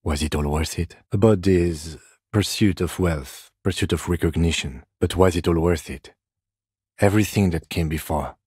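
A male video game police detective with a very deep, slightly husky voice. He is composed and dryly formal, measuring each phrase with restrained exasperation. The sound is close and dry. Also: normal speed, darkish tone, no echo. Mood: calm, dry. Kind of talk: scripted video game dialogue.

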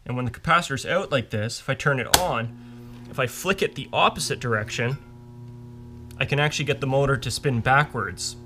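A man's voice: medium pitch, brisk, over music. A man giving a casual, step-by-step technical demonstration as an instructor at a workbench. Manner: informal, explanatory, step-by-step. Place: indoors at a workbench.

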